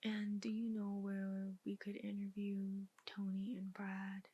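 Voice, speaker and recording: A woman performing a soft-spoken ASMR roleplay as a detective, with measured, deliberate questioning. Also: mid-range voice, monotone, husky, no echo, dull audio.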